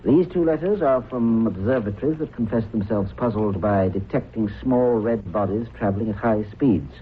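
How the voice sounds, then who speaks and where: A man's voice: lowish voice, moderate pace, lightly acted. Man acting keen radio reporter, tinny old recording. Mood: absorbed, intrigued. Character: keen, curious male broadcast reporter and husband. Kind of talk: vintage radio drama acting. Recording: noisy, narrow-band old radio recording.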